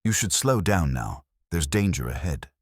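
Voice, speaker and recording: A man's voice: low voice, big pitch swings, moderate pace, slightly rough, no room sound, clean studio sound, heavily acted. A man voicing a mysterious, emotionless stranger who speaks cryptic warnings over CB radio in a horror story. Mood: ominous warning. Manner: toneless, almost robotic, cryptic.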